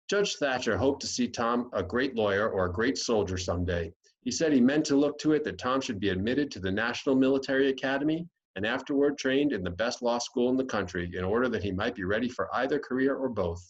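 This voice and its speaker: A man's voice: medium pitch, lo-fi sound. An American man reading a classic storybook aloud as scripted narration. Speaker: audiobook reader.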